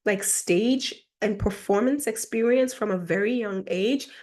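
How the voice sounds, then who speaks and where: A woman's voice: medium pitch, measured pace, darkish tone. A woman from Germany talking as a personal mentor in a casual vlog monologue, chatty and reflective, with lots of "like" fillers. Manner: chatty, reflective, fills speech with "like".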